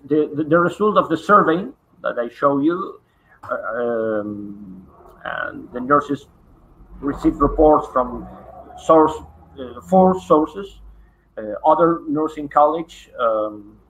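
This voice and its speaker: A man whose first language is Spanish, presenting a lecture at an online conference in English, halting, with many fillers and repeated words. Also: fairly high pitch, a bit bright, slight background noise, poor audio. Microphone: online conference call.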